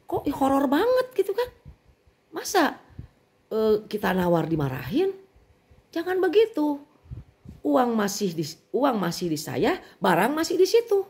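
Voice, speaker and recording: Middle-aged woman vlogger, Sundanese accent, animated and indignant as she vents. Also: medium pitch. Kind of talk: venting monologue.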